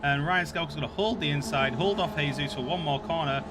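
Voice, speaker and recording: A man with a Yorkshire accent commentating an online race, jokey and quick to burst into excited shouts when cars crash. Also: on the high side, very noisy. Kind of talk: sim racing commentary.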